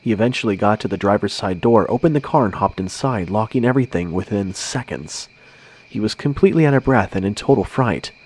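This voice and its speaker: A man's voice: fairly low pitch, expressive, brisk, darkish tone, slightly rough. A man reading a first-person scary story in a conversational narration style.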